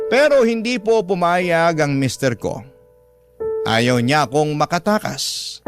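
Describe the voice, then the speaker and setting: A man with a Filipino accent dramatically narrating a listener's letter for a radio drama. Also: fairly high pitch. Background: music.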